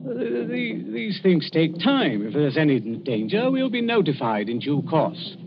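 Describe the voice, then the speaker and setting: Stuffy british official, middle-aged, pompous, lively pitch, muffled hissy old radio play. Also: male voice, measured pace. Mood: dismissive. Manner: pompous, clipped, indignant. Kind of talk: old-time radio drama acting.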